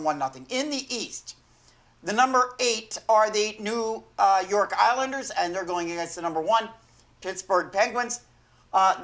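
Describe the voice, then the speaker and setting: An older man with a hoarse, failing voice hosting a sports talk show from a small studio desk, rambling and correcting himself as he goes. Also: sits high, fairly bright tone. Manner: rambling, self-correcting, chuckling. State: hoarse, losing his voice.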